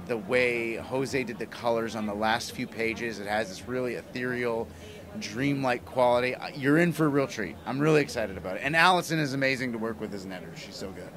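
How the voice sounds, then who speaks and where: A man's voice: mid-range voice, a bit bright. A middle-aged man giving a casual, effusive interview as a guest, speaking into a handheld mic. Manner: enthusiastic, effusive. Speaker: interview guest, comic writer and broadcaster. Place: small plywood-walled booth at a convention. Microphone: handheld interview mic held toward him. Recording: clear background room noise. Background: convention ambience.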